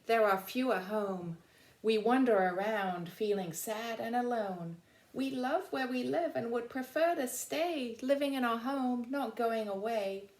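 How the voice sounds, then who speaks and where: A woman's voice: a little high, pitched above the performer's own voice. Sad endangered picture-book creature, read by a woman, earnest pleading verse, echoing room sound. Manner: earnest rhyming appeal. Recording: roomy, echoing indoor sound.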